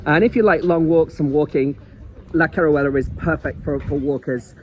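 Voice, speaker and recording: A man's voice: a little high, fairly even intonation, normal speed, narrow, tinny audio, a little hiss or hum. Chatty Liverpool man on a seaside walk. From England. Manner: chatty, rambling, repeats words. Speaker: travel vlogger. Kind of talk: casual walking travel vlog. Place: outdoor seaside promenade.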